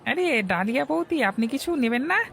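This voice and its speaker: A woman's voice: high-pitched, animated, moderate pace, vocal fry, breathy edge, dry recording, heavily acted. A woman voicing an eager, chatty market fish seller in a Bengali cartoon, with crowd murmur behind. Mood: eager, obliging.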